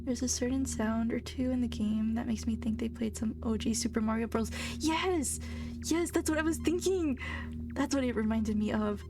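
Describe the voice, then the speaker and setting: A young woman streamer in college chatting casually while playing a game, soft-spoken and fairly monotone. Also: a little high, moderate pace. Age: young adult. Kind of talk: casual live-stream chat while gaming. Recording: game music playing behind. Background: game music.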